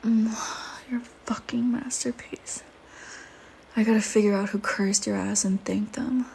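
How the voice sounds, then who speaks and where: A woman voicing a flirty, teasing, predatory female vampire in an intimate audio roleplay, with playful banter and breathy murmurs. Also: mid-range voice, fairly even intonation, measured pace, warm tone, a little hiss or hum. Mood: breathy, hungry.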